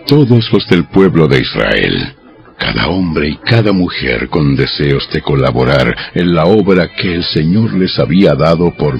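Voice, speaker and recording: Very deep, gravelly male narrator. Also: lo-fi sound. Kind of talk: scripted dramatized scripture narration. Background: music.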